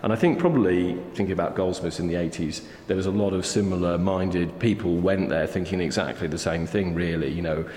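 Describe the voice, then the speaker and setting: Deep-voiced man in a roomy hall. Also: fairly quick, hardly any pauses, darkish tone. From England. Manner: thoughtful, hesitant, many fillers. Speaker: artist guest. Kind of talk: reflective interview conversation. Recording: roomy hall echo, faint ambience.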